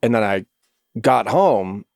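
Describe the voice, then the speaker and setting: A middle-aged man, an actor, telling stories on a podcast in an animated way and swearing freely. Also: on the low side, slow, gravelly, no room sound, clean studio sound. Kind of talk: casual podcast chat.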